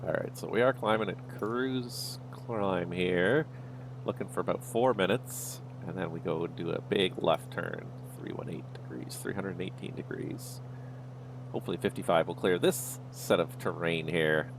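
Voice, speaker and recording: A man's voice: deep voice, moderate pace, noisy background. A man streaming a flight sim, casually talking himself through each step of the flight as he goes. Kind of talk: livestream commentary, thinking aloud.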